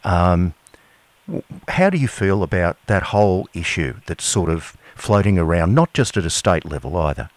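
A man's voice: low-pitched, big pitch swings, normal speed, no echo. An Australian man hosting a local radio interview, talking casually with some hesitation. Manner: casual, hesitant, friendly chat. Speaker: radio host.

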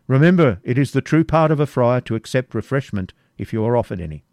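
A man's voice: mid-range voice, lively intonation, fast, darkish tone, no room sound, studio quality, voice pushed up, natural voice. A man playing a hearty Saxon lord. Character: hearty Saxon nobleman bidding farewell.

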